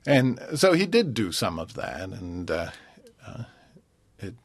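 Low husky thoughtful male pianist. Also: big pitch swings, no echo. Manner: thoughtful, pausing to search for words. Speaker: concert pianist guest. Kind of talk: relaxed radio interview.

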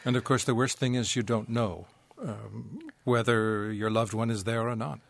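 A male interviewer asking thoughtful questions in a reflective, halting way. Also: fairly low pitch, fairly even intonation, vocal fry, no room sound, clean studio sound.

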